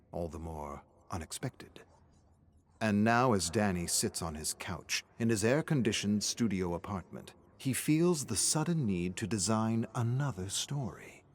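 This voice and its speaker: A man with a low, deep voice narrates a scripted horror story. His delivery is measured and dramatic, with weighted pauses and line endings that fall toward a hush. There is noticeable ambient noise behind the voice.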